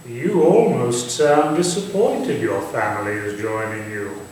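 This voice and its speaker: A man's voice: medium pitch, a little slow, darkish tone, natural voice. A man playing an urbane, teasing, proud Victorian English nobleman in a staged reading, articulate and dryly probing in a theatrical way. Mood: wry. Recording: very echoey room, distant mic, hissy noise.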